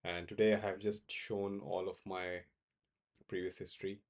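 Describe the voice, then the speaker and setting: Man from Pakistan, low voice, halting casual livestream talk full of "uh" and repeats. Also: level tone. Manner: halting, many fillers and repeated words. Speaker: crypto trading livestream host. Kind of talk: casual live-stream chat answering viewers.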